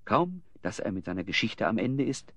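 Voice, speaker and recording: A man's voice: on the low side, very animated, normal speed, a bit bright, no echo, full character voice. A man narrating a children's fantasy audio drama as a scripted storyteller. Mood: dramatic.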